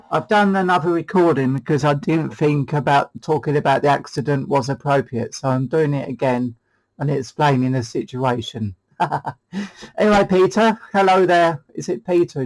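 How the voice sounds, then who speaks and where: A man's voice: mid-range voice, moderate pace, a little mellow, poor audio. A British man hosting a CB radio demo, chatting casually in a hesitant, rambling way. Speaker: CB radio hobbyist and channel host.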